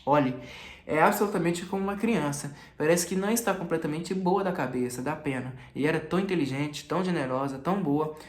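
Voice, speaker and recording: A man's voice: fairly high pitch, fairly quick, natural voice. A man voicing a timid, anxious, compassionate young woman in an audiobook, hesitant and repeating words. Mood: pleading, distressed. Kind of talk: audiobook character reading. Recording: echoey room.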